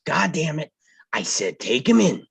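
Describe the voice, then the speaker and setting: An actor at a table read playing a gruff, bullying police captain who blusters and barks orders. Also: male voice, a little high, big pitch swings, unhurried, dry recording, full character voice. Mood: angry, bullying. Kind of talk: live table read of a film script.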